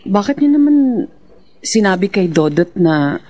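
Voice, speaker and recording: A woman's voice: on the low side, very animated, a little slow, dark tone. A woman playing an earnest, worried but determined young widowed mother, pleading in a radio drama. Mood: worried, gentle.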